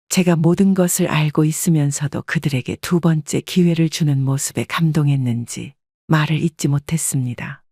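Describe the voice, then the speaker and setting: A woman narrates a first-person story in a calm, even, reflective scripted reading. Her voice has a soft, breathy edge, and the recording is dry and close. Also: sits low, dry recording.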